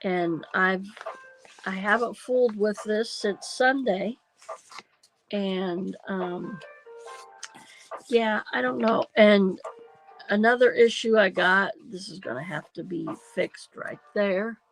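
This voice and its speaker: A woman hosting a craft livestream, nasal from a sinus cold, thinking aloud slowly and hesitantly with many ums. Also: medium pitch, vocal fry, faint music behind, low-quality recording. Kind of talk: casual craft livestream chat.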